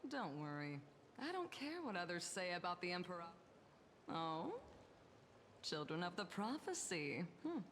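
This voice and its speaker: A woman's voice: fairly low pitch, big pitch swings, normal speed, fairly bright tone, a little hiss or hum. An actress playing a teasing, unruffled woman with sly charm in a video game, with a playful drawl. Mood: amused welcome.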